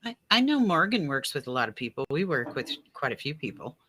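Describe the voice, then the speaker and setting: A woman's voice: sits low, big pitch swings, brisk, fairly bright tone. A woman chatting casually about spiritual experiences, telling anecdotes and acting out bits of remembered conversation. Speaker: guest on a spiritual radio show.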